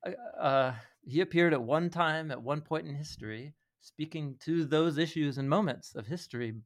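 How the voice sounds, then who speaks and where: A man talking as a podcast guest and Bible teacher, explaining things earnestly in a conversational way with frequent small chuckles. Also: medium pitch.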